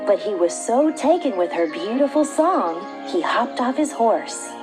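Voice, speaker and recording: A woman's voice: a little high, big pitch swings, measured pace. A woman reading a scripted fairy tale for children as a storyteller. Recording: background music.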